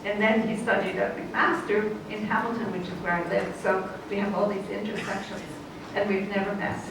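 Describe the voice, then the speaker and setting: A woman's voice: medium pitch, fairly even intonation, normal speed. Woman moderator, conversational, recorded far off in a noisy, echoey hall. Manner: warm, conversational, some restarts. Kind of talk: introducing panelists. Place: conference hall.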